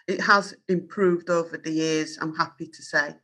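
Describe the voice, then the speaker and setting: Yorkshire woman, fifties, journalist guest, earnest and self-assured, tense bright tone. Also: sits low, fairly even intonation, normal speed. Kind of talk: candid interview answers.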